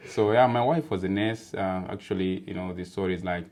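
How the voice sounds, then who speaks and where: A man from Zimbabwe, chatting as an interview guest. His voice sits very low, and he talks at an easy, rambling pace, filled with "you know" and quick laughs. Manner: relaxed and chatty, many fillers, laughs easily. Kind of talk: casual interview chat.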